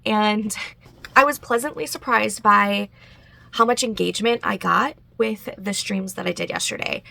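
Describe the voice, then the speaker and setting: Congested chatty woman vlogger, slow and gravelly. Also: mid-range voice, sharp tone. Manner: chatty, frequent fillers and little laughs. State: congested, nasal. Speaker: writing vlogger. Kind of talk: casual chatty vlog.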